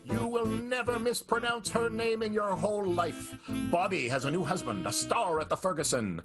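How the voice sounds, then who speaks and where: A man's voice: high-pitched, normal speed. A man hosting and narrating a radio show in a playful, showy, dramatic announcer style. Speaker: host and narrator. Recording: music bed behind the voice.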